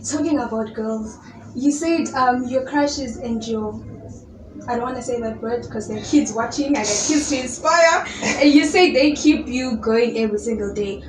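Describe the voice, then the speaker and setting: A woman's voice: a little high, normal speed. A young woman hosting an interview, asking curious, friendly questions. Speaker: interviewer host. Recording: echoey room with background noise.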